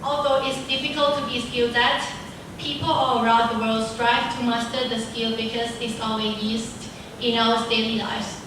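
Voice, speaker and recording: A woman's voice: a little high, little pitch movement, normal speed. A teenage girl with a Thai accent, her first language Thai, giving a careful, formal, recited speech at a student speaking contest. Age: teens. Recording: echoey hall from far off, noisy.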